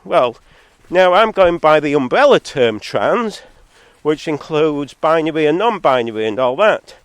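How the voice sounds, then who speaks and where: A man's voice: very animated, a little slow, smooth, no echo. A man full of a head cold delivers a rambling, argumentative vlog monologue with sardonic asides, on a distorted recording that clips. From Zambia-born, living in England. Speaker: solo video maker. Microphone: phone or camera, recorded too hot. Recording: distorted, clipping from high recording level.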